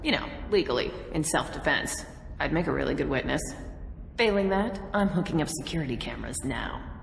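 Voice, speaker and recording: A woman playing a dry, scheming business owner in a sci-fi video game, sly and deadpan, in an echoey space. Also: low-pitched, fairly quick, vocal fry. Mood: sly, annoyed. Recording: echoey hall, faint background noise.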